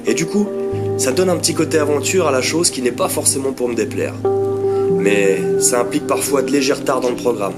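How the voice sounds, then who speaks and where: A man's voice: medium pitch, brisk. A man in his thirties narrating his fishing video in a scripted voice-over, with a wry, self-mocking humour. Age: 30s. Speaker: fishing vlogger. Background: background music.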